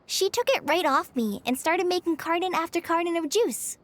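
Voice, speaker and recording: A sweet young girl in a video game. She speaks very high and with lively swings, earnest, polite and eager to look after others. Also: normal speed. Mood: eager, friendly.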